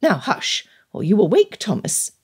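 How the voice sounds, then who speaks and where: A woman voicing a fussy, indignant middle-aged Regency gentlewoman who scolds and sniffs, in an audiobook story. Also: a little high, very animated, moderate pace, husky, heavily acted. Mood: scolding, hushing.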